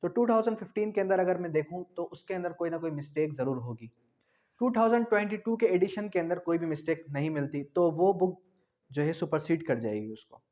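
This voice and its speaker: Higher-pitched Pakistani man lecturing, dull audio. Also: expressive, fairly quick, darkish tone. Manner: repetitive, asks and answers own questions. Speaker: law lecturer. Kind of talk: explanatory lecture with examples. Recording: dull, lo-fi sound.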